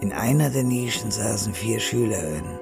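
A detached crime narrator with a low, creaky voice. He delivers scripted radio drama narration over loud music. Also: normal speed. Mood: detached, narrating.

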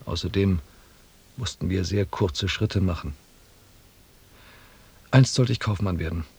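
An actor playing a reflective expedition scribe who narrates a radio drama in a measured, restrained and quietly melancholy way. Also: male voice, sits low, slightly rough, exaggerated voice. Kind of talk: scripted first-person narration in a German radio drama.

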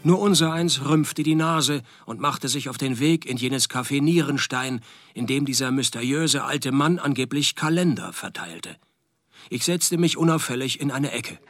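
A man playing a world-weary, sardonic private detective, narrating his case in a radio play in a dry, deadpan way. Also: mid-range voice.

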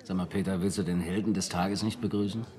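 An actor playing a chatty office colleague at a party in a radio drama, talking in a familiar, casual way. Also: male voice, low-pitched, steady tone, fast, a little mellow, close to an everyday voice. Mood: curious, teasing.

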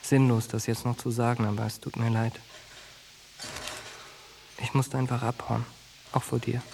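A man's voice: medium pitch, flat delivery, normal speed, creaky, darkish tone, slight background noise, lightly acted. An actor playing a wounded young soldier in a radio drama, earnest, plain-spoken and fiercely loyal to his friend. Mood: remorseful, lonely. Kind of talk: radio drama acting, intimate monologue and dialogue.

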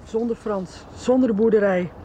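An actress playing a resigned, grieving wife in a Dutch radio soap. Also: female voice, mid-range voice, normal speed, warm tone, a little hiss or hum, natural voice. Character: grieving, resigned wife who feels left alone.

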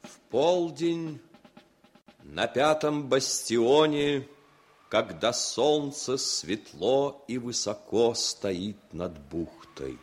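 Male radio narrator, really slow and solemn, middle pitch, faint drum music under him. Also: animated. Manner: measured, deliberate.

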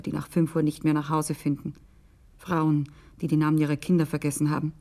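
An actress playing a grave, disillusioned government insider in a radio play, speaking in a measured, confiding way. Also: female voice, deep voice, warm tone.

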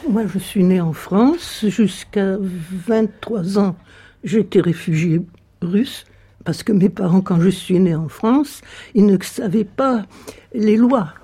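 A woman's voice: mid-range voice, takes it slowly, mellow, husky. An older woman telling a personal anecdote, acting out the lines of the people in it. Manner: anecdotal, acts out quoted dialogue. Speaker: interviewee.

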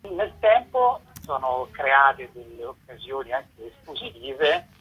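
A man explaining things as an interviewed festival organiser, in halting pieced-together phrases, over a telephone call. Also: medium pitch, very animated, husky. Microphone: phone call. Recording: tinny, distorted telephone line.

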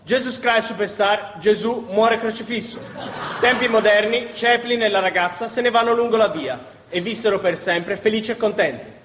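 A male stage performer delivers a live recitation in a theatre. His voice sits high for a man. The sound is very echoey, with a long hall reverb. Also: moderate pace, fairly bright tone, a little hiss or hum.

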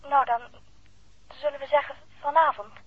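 A woman's voice: sits high, steady tone, normal speed, no echo, close to an everyday voice. An actress playing a reserved, hesitant woman on the telephone in a 1960s radio drama. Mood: hesitant, yielding. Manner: quiet, hesitant. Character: reserved, hesitant, guarded young lady on the telephone. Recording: muffled old radio telephone scene.

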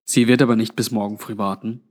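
A man's voice: on the low side, flat delivery, fast talker, natural voice. A man voicing a weary, blunt old undead man with a grave, resigned manner in a narrated story.

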